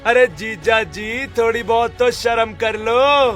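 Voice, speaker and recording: A man's voice: extremely high pitch, slow pace, fairly bright tone, soft background music, pitched above the performer's own voice, heavily acted. A man voicing an arrogant young show-off who sneers and drawls his taunts, in a cartoon story. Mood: mocking, boastful. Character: arrogant young brother-in-law, showy property dealer, mocking. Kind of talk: Hindi cartoon story dialogue.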